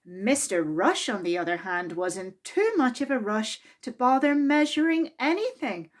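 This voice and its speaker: A woman reading a children's story aloud in an expressive, deliberate way, drawing out key words for emphasis. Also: on the high side.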